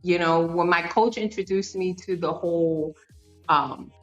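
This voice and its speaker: A woman's voice: fairly low pitch, a little slow, a bit bright, highs cut off, soft background music. A woman in her fifties, a podcast guest, telling her story in an earnest, conversational way with frequent fillers and restarts. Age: 50s. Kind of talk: conversational interview.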